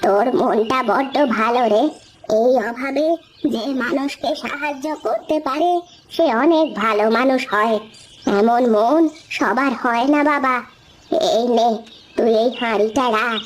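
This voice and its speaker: A woman's voice: a little high, a little slow, lightly acted. A woman doing a kindly old beggar woman. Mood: gentle. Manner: affectionate and motherly, gives gentle advice. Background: background music.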